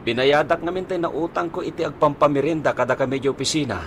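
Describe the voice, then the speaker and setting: Man acting evasive husband, fairly high voice, defensive, explaining, radio comedy drama, faint noise. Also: quick pace, a bit bright, natural voice.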